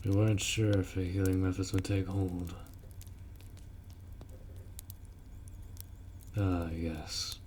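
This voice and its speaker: A man playing a gruff, dutiful orc warrior in a fantasy roleplay audio drama, blunt and protective as he speaks to the listener. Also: low-pitched, little pitch movement, moderate pace, darkish tone, slight background noise, close to an everyday voice. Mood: curious, gentle.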